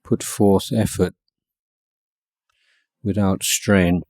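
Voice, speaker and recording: A man guiding a meditation as a teacher, speaking in short measured phrases with long pauses between them. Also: deep voice, level tone, darkish tone, no echo. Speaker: Buddhist monk teaching meditation. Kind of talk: guided meditation instruction.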